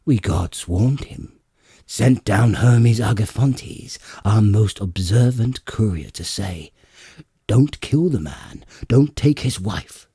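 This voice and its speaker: Man playing grand old father god, deep, dark, ponderous, exasperated, lordly, epic audiobook. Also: breathy edge, no echo, studio quality, full character voice. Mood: indignant, weary.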